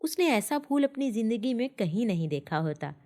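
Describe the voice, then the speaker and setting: A woman's voice: fairly high pitch, big pitch swings, moderate pace, dark tone. A woman narrating a scripted children's story as a storyteller.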